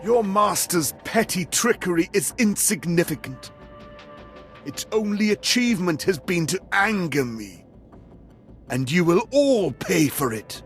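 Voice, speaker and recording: A menacing Sith villain from a video game. He is proud and wrathful, with a slow, higher-set male voice that swings theatrically. Music plays behind him. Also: expressive. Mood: contemptuous menace. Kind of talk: dramatic video game acting.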